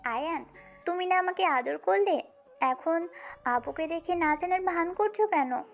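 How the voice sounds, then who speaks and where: Very high-pitched scheming younger sister, played by a woman. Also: animated, a little slow, vocal fry, heavily acted. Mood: insistent, feigning innocence. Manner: insistent, pleading. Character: scheming younger sister feigning innocence, pleading. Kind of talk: audio story acting. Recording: music under the voice.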